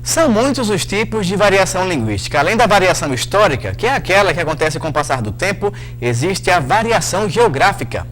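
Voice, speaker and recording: A man's voice: high voice, sing-song, fast talker, hardly any pauses, a bit bright, a little hiss or hum, rough recording. A man hosting a televised lesson, narrating a script in an upbeat way and talking straight to the viewer. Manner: upbeat, addresses the viewer directly.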